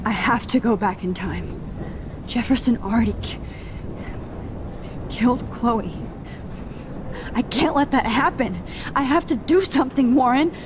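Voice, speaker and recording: An actress playing an earnest, troubled young student in a dramatic video game, with a gentle, hesitant delivery. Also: female voice, on the high side, a little slow, long pauses, close to an everyday voice. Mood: distressed. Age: young adult. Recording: storm noise behind.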